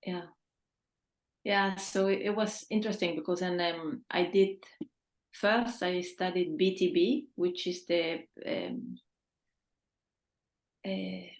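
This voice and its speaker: A woman's voice: lowish voice, takes it slowly, creaky, pauses often, roomy sound. A woman in her fifties from Chile, speaking earnestly as a guest on a video-call interview, with small restarts. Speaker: feng shui consultant, guest. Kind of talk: casual interview answers.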